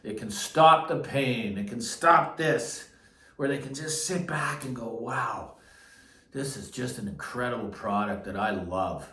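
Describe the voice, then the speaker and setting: A man's voice: medium pitch, unhurried, sharp tone. A man from Canada giving a casual, unscripted gear review as an enthusiastic vlogger, stressing his points by repeating them. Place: echoey listening room. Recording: roomy echo, distant microphone.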